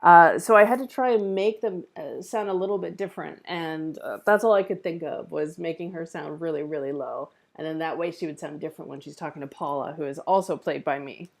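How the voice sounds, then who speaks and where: A woman's voice: low-pitched, a little fast, creaky, hardly any pauses. A woman hosting a livestream, chatting casually with the group. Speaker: table read host.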